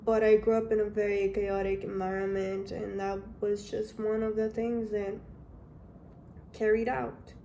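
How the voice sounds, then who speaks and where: A young woman from Honduras frankly telling her life story in a halting, candid way. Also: mid-range voice, flat delivery, mellow, slight background noise, rough recording. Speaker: vlogger. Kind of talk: personal storytelling.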